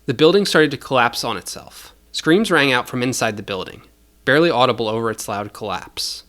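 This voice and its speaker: A man's voice: mid-range voice, brisk, fairly bright tone. A man narrating scripted fiction as a storyteller, in a dramatic style.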